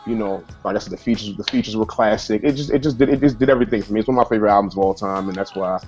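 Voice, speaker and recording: A man's voice: fairly low pitch, racing, darkish tone. Rambling man in his thirties. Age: 30s. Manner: rambling, full of restarts. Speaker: panelist, rapper. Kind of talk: casual conversation. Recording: lo-fi, faint music behind.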